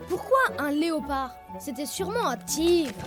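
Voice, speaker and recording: A woman's voice: sits high, animated, normal speed. A woman voicing a boastful, stubborn young male tiger cub in a children's cartoon, boisterous and challenging. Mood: excited. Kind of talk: animated children's cartoon acting. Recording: music behind the voice.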